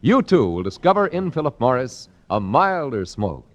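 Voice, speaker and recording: A man making an emphatic, declarative 1940s radio sales pitch. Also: very animated, normal speed. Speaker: commercial announcer. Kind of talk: 1940s radio advertising read. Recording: dull, lo-fi 1949 radio transcription.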